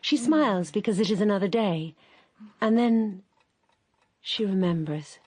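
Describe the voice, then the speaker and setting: A woman narrating a radio drama in a measured, gentle voice. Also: medium pitch. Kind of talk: scripted narration.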